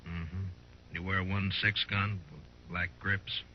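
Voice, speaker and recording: An actor playing a stern, laconic frontier marshal in a 1950s radio western, terse and understated. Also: male voice, low-pitched, flat delivery, a little slow, slight background noise. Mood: guarded, probing. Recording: muffled old 1950s radio recording.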